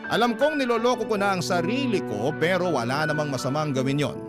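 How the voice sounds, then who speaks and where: A man's voice: fairly high pitch, brisk, natural voice. A man with a Filipino accent reading out a dejected schoolgirl's letter as dramatic radio narration. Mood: hurt, forlorn. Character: dejected schoolgirl letter-writer telling her own story. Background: instrumental music.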